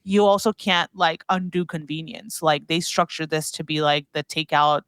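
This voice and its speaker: Woman chatting casually in a clear, dry recording. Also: low voice, fairly bright tone, no echo. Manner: halting, heavy use of "like". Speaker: guest commentator on grocery and consumer brands. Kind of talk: casual podcast discussion.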